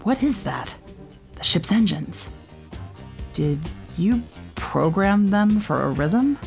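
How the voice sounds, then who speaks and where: A woman's voice: medium pitch, big pitch swings, takes it slowly, gravelly, a little mellow, soft background music, full character voice. A woman playing a curt, unimpressed time-police officer in an audio drama, speaking with dry authority. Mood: stern, dry. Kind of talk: full-cast audio drama acting.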